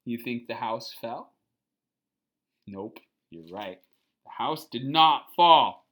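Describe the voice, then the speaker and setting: A children's picture book reader with a bright, forward voice. The delivery is slow and expressive, moving between storytelling and simple explanatory asides with small questions to the listener. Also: mid-range voice.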